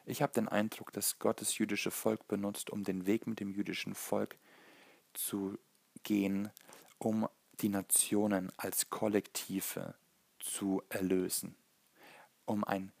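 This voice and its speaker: Man, sermon, raspy, dry close sound, earnest, halting, searching for words. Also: lowish voice, level tone, darkish tone, no echo. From Germany. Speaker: preacher. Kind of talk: sermon, Bible teaching.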